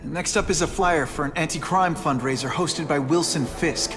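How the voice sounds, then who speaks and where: An actor playing a young male hero, wisecracking and energetic, who works as a part-time photographer. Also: on the high side, normal speed, over music, close to an everyday voice. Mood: quippy. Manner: quippy, energetic.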